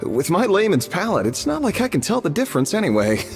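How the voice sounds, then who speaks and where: A man's voice: sits high, huge pitch swings, quick pace, full character voice. A man voicing a mild, easygoing diner in a video game, polite and a little awkward. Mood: bemused. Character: mild, easygoing male restaurant customer, bemused and awkward. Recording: long reverb with music behind.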